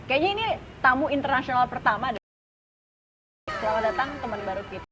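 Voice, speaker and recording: A woman's voice: sits high, brisk. A woman with a Jakarta Indonesian accent hosting a casual interview chat in a public park. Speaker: podcast host. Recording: noisy public park ambience.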